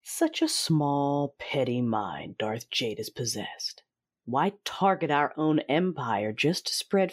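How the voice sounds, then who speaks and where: An actor playing a cruel, vengeful Sith lord, narrating an audio drama in a deliberate, commanding, menacing way with a husky voice. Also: mid-range voice. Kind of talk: first-person audio drama narration with dialogue. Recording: dramatic music behind the voice. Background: music.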